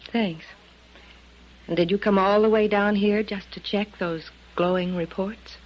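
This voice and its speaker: A woman's voice: medium pitch, steady tone, unhurried, lightly acted. An actress playing a cool, guarded, alluring American woman in terse, languid 1950s radio drama style. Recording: muffled, noisy old radio recording.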